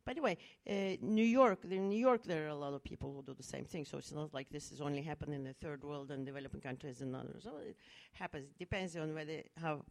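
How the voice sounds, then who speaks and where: A middle-aged woman from Turkey tells a personal story to an audience. Her voice sits a little low, and she speaks earnestly and thoughtfully, often restarting and adding small fillers. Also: quick pace, creaky, few pauses. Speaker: speaker at a talk. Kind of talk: personal story in a public talk.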